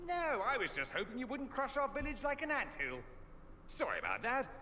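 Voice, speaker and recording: An actor voicing a witty, self-assured fantasy mage in a video game, with a theatrical, sardonic manner. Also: male voice, very high-pitched, huge pitch swings, brisk, sharp tone, long reverb tail, a little hiss or hum, exaggerated voice. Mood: wry, playful. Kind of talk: video game character acting.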